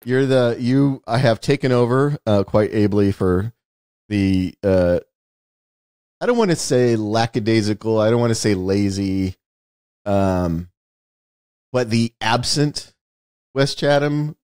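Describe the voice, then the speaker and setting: Low-voiced American man, dry podcast host. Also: lively intonation, slow, pauses often, darkish tone, clear, resonant tone, dry recording. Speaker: screenwriter and author. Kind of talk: casual podcast chat.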